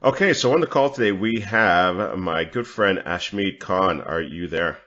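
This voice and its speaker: Male podcast host, very low voice, casual and conversational, halting delivery with repeated words. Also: sharp tone, lo-fi sound.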